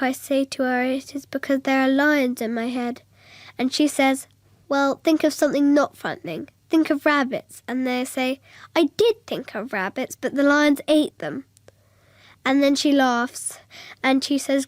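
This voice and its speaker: A woman's voice: high-pitched, fairly even intonation, a little slow, no echo. An actor playing a dreamy, earnest little girl, narrating simply and innocently in a radio drama. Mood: dreamy, confiding.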